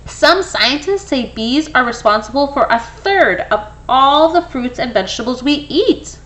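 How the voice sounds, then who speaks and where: A woman reading a children's picture book aloud in an expressive way, with brief explanatory asides for young listeners. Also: high voice, a little slow. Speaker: storybook reader.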